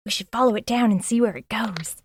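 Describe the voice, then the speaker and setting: A woman's voice: medium pitch, a little fast, slightly rough, dry recording, studio quality, close to an everyday voice. An actress playing an eager, chatty sidekick to a woman sleuth, earnest and inquisitive, in an audio drama. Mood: curious, cheeky. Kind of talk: audio drama acting, dialogue.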